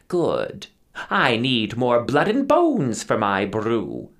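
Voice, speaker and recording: A man's voice: medium pitch, huge pitch swings, takes it slowly, gravelly, a bit bright, heavily acted. Cackling storm witch, as a male storyteller puts her on. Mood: gleeful, commanding. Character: cackling old witch brewing storms, greedy.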